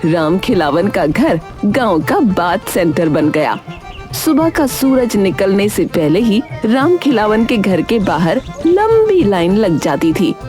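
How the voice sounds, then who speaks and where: A woman's voice: medium pitch, sing-song, takes it slowly, over music. A woman narrating a scripted comic story as its storyteller.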